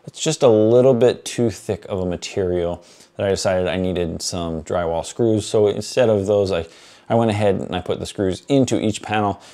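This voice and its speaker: A man in his thirties with a fairly low, dark and relaxed voice. He explains things to camera like a casual vlogger, conversational and matter-of-fact, recorded indoors on a clip-on mic. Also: normal speed. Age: 30s. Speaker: YouTube creator, host. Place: small furnished home basement studio, indoors. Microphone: clip-on lapel mic.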